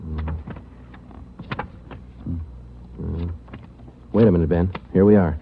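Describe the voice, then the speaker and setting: An actor playing a stoic police detective in a 1940s radio drama, clipped and matter-of-fact. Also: male voice, deep voice, steady tone, normal speed, vocal fry, slight background noise. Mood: businesslike. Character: stoic, weary police detective sergeant narrating his case. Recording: muffled vintage 1940s radio recording.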